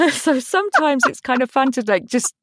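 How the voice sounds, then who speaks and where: A woman's voice: high voice, sing-song, normal speed, a bit bright, dry recording, clean studio sound. A woman with an English accent chatting enthusiastically as a guest in a casual interview. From eastern England. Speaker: podcast guest, voice actor.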